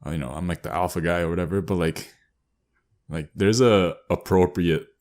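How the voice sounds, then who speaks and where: A man's voice: sits low, fairly even intonation, normal speed, darkish tone. A young man co-hosting a podcast, griping casually in blunt, sweary slang. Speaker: podcast co-host.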